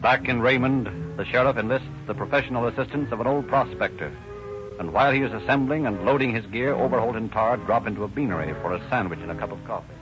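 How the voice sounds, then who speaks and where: A man's voice: medium pitch, fast, over music. A man reading the formal scripted narration of an old radio crime drama, with the dull sound of an old radio recording. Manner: steady, formal.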